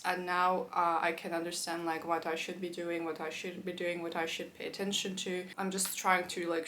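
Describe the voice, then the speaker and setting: Chatty young Turkish woman, lowish voice. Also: a bit bright, slight background noise. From Turkey. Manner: chatty, quick asides, frequent "like" fillers. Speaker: YouTuber. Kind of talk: casual talk to camera.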